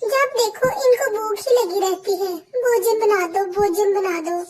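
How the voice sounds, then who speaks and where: A tiny, childlike sparrow daughter-in-law from a storybook cartoon. Her voice sits extremely high. She sounds like a dutiful young bird with a sly streak. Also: measured pace, darkish tone. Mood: grumbling, resentful. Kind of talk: Hindi cartoon voice acting.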